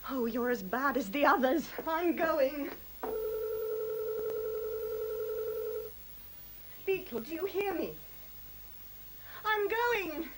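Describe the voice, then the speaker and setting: A woman's voice: high-pitched, lively intonation, slow. An actress playing an impatient, emotional young woman, petulant and scornful, in a 1970s radio play. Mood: hurt, angry. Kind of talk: 1970s radio drama acting. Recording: hissy 1970s radio recording.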